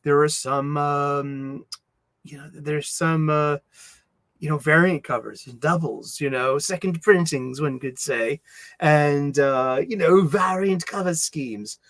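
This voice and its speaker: A man's voice: a little high, slow, fairly bright tone, no room sound, studio quality. A middle-aged man with a Boston accent hosting a casual livestream, rambling and mumbling with many restarts and fillers. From Boston, USA. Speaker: livestream host. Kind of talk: casual chat.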